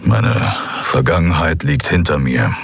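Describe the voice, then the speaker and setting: A man doing a gruff, grumbling bodyguard. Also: rumbling low, lively intonation, unhurried, no echo, full character voice. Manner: grumbling, curt. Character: gruff male bodyguard, terse and brooding.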